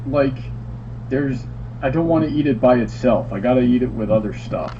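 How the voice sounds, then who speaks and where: A man with a mellow, dark voice chats casually on a live stream, reading out viewer comments and stumbling over restarts as he answers them. The sound is dull and lo-fi, with a faint hiss underneath. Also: mid-range voice. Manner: reads viewer comments aloud, halting restarts. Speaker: stream co-host reading chat. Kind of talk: casual chat. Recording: lo-fi stream audio, faint noise.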